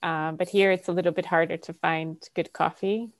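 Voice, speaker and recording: A woman's voice: lowish voice, fairly even intonation, moderate pace, no room sound. A woman hosting a podcast in casual conversation, chatty and laughing often, with lots of fillers. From Canada. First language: Polish.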